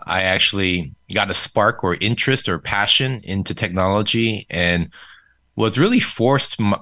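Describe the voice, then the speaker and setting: Deep-voiced man, native Korean speaker. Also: no echo. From South Korea. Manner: relaxed storytelling with fillers. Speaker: podcast guest. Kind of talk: casual interview.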